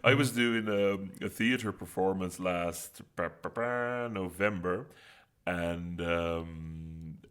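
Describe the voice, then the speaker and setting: Man, low pitch, forceful bright tone, podcast co-host, playful, close mic. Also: slow, clear, resonant tone. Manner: animated, laughing storyteller. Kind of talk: casual chat. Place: wooden cabin. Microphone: close studio mic.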